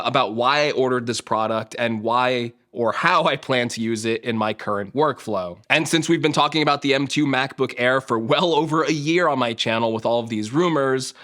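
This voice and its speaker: A young man, a tech YouTuber, chatting to camera in a casual, self-mocking way, his voice a little hoarse from a sore throat. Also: medium pitch, a bit bright.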